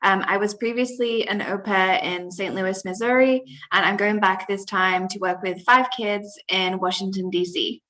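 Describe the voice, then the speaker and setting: Relaxed British woman in her twenties. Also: mid-range voice, normal speed, hardly any pauses. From United Kingdom. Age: 20s. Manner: relaxed, fillers "um" and "like". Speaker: au pair. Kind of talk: casual panel chat. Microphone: video call.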